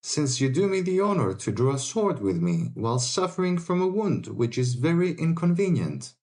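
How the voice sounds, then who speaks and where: An actor playing a brave, respectful young adventurer, earnest and formal, in a dramatic audiobook. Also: male voice, a little high, sing-song, moderate pace, a little mellow. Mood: earnest, polite.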